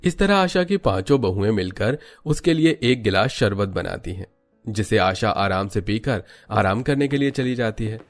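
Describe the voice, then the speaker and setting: A man's voice: on the low side, lively intonation, moderate pace, soft background music. A man narrating a scripted children's cartoon story.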